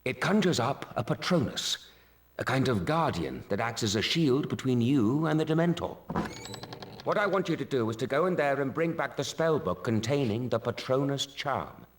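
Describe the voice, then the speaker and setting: A man voicing a gentle, weary male wizard teacher, speaking in a calm, kindly, soft-spoken way in a game cutscene. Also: medium pitch, normal speed, slight background noise, natural voice. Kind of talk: video game cutscene acting.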